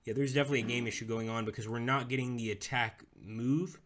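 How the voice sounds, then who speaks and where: A man's voice: medium pitch, fairly even intonation, fast talker, fairly bright tone. Rambling man streaming a strategy game. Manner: rambling, chats with viewers, talks through moves. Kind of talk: casual live-stream game commentary.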